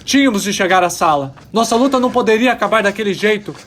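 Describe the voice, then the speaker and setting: An actor playing an embittered alien rebel miner, testifying with impassioned, dramatic pauses in an audio drama. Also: male voice, high voice, lively intonation, a bit bright, slight background noise. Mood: grave, urgent. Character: embittered male alien rebel miner testifying about his oppressed people.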